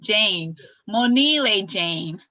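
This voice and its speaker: A young woman hosting an online event, upbeat and animated as she teaches greetings over a video call. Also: medium pitch, drawn-out words, no echo. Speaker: executive director and event host. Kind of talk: enthusiastic online presentation. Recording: slightly dull lo-fi call audio.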